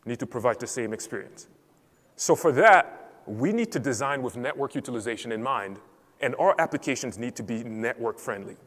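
A man's voice: mid-range voice, animated, fairly bright tone. Man presenting in a large echoing hall. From Cameroon. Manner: measured, explanatory delivery. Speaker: enterprise support lead presenting. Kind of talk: technical conference presentation. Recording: reverberant hall.

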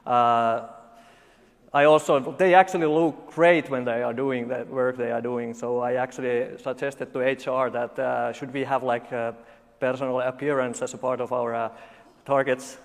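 A man from Finland in his forties with a Finnish accent, giving a conference talk full of dry, deadpan jokes and halting fillers. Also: mid-range voice, creaky. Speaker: conference speaker. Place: large conference hall. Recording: long hall reverb.

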